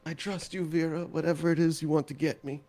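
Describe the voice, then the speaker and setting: A man plays a frail, elderly man in a tabletop game. The voice sits a little high and quiet. He is mild, halting and forgetful, quick to apologize. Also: level tone, a crowd in the background, close to an everyday voice. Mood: meek, trusting.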